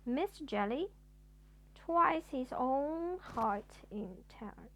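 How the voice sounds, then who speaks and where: A woman storyteller reading a children's bedtime story aloud in an expressive way. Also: high-pitched, takes it slowly.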